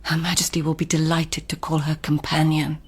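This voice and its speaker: Radio drama, proud imperious noblewoman: lowish, breathy, husky voice, formal declamatory delivery. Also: completely flat delivery, a little fast. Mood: rapt, resolute. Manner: poised, formal, precise, declamatory. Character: proud, imperious palace lady of ancient Japan.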